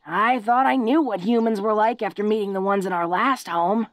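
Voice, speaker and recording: A woman's voice: medium pitch, moderate pace, no room sound, close to an everyday voice. A woman playing a tough but awkward, tender-hearted young female orc in a romantic audio roleplay, speaking in a folksy, hesitant, earnest way. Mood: shy, affectionate.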